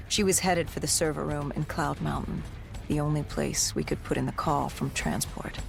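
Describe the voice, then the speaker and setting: Low, gravelly, grim female soldier. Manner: brooding, dramatic storytelling. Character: grim, haunted female soldier recounting a zombie outbreak. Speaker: narrator, video game character. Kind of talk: dramatic in-character game narration. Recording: music behind the voice.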